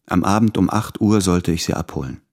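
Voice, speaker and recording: An actor playing a guilt-ridden, fearful office clerk in a literary radio drama, speaking in a measured, introspective way. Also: male voice, low-pitched, vocal fry. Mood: confessional recollection.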